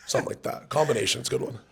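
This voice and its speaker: A man from Ontario, Canada, a rapper guesting on a podcast, talking in a laid-back, joking way with a hoarse, worn-out voice. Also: medium pitch, a little hiss or hum. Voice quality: gravelly. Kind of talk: casual podcast chat.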